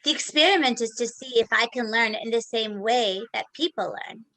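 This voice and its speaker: Woman as bright, literal robot schoolgirl. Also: fairly high pitch, normal speed, poor audio. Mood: matter-of-fact. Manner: flatly literal, formal, precise. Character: literal, polite female computer schoolgirl, unfeeling, obliging. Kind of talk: staged play reading.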